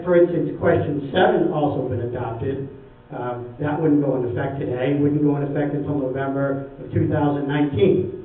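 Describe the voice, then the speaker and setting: A middle-aged or older man, a town attorney, explaining matter-of-factly at a public meeting in a large indoor room. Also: a little high. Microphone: room pickup, later handheld microphone. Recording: echoey meeting hall, distant dull sound.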